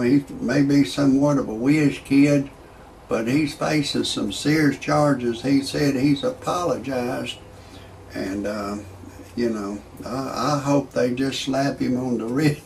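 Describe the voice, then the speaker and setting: A gravelly-voiced man spins a loose, rambling comic monologue. He stumbles over his words and restarts lines, and he chuckles along with his own jokes. His voice sits in an everyday middle pitch, with a faint hiss of noise behind it. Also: darkish tone. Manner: rambling, repeats himself, stammering restarts. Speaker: amateur comedian commentator.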